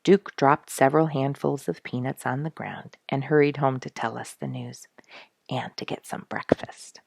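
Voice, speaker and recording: A woman reading a children's animal story aloud in an expressive, dramatic storyteller's manner. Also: rumbling low, moderate pace, creaky, dry recording, studio quality. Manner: dramatic, rhetorical questioning delivery.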